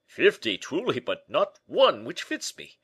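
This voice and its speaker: A man voicing a vain, rich, big nobleman in an audiobook, slow, emphatic and melancholy. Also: fairly high pitch, wildly swooping pitch, fairly bright tone, husky, pitched above the performer's own voice, exaggerated voice. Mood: proud, emphatic. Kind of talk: audiobook character acting.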